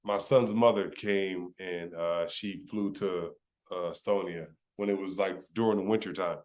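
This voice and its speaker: A man from California hosting a casual interview, relaxed and chatty, with lots of "yeah, yeah" and "you know". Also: deep voice, measured pace. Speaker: interviewer, host. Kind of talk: casual chat.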